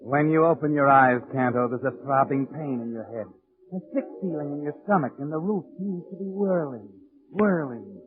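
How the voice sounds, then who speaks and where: An actor playing an ominous, taunting mystery narrator in 1940s radio suspense, dramatic and insinuating. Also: male voice, fairly high pitch, moderate pace, lightly acted. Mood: eerie, suspenseful. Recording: tinny 1940s radio recording.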